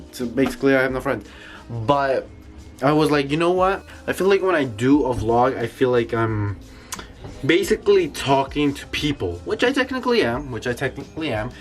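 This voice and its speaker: A teenage boy from New York rambling in a casual home vlog, with a congested, nasal voice and lots of fillers. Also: mid-range voice. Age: teens. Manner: rambling, filler-heavy, self-conscious. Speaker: vlogger. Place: home, indoors.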